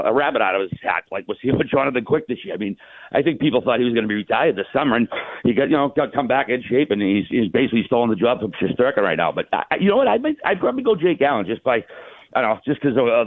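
A man chatting casually as a hockey analyst guest, colloquial, with halting, repeated phrasing, over a tinny phone-like line. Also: mid-range voice, dry recording. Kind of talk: casual sports chat.

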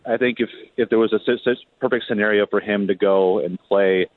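A man's voice: on the low side, fairly even intonation, brisk. A man speaking as a reporter guest on a sports show, talking through hockey analysis in a hesitant, word-repeating conversational way. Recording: thin phone line, roomy echo.

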